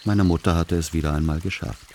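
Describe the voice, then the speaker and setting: Adult son and narrator for radio play: low, patient, dry, background ambience audible. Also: male voice, steady tone, a little fast, mellow. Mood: measured, observant. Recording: clear background noise, outdoor ambience.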